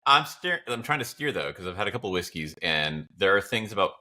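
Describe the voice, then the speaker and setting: A man's voice: deep voice, very animated, a little fast, pushed and bright. A man bantering casually as a podcast co-host, with a wry, playful and emphatic way of talking.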